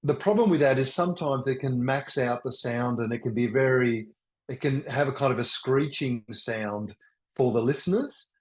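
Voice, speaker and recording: An older man presenting a webinar in a loud, enthusiastic voice, often restarting his sentences. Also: medium pitch. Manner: halting, with many restarts. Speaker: workshop presenter. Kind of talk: teaching a webinar. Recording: roomy echo.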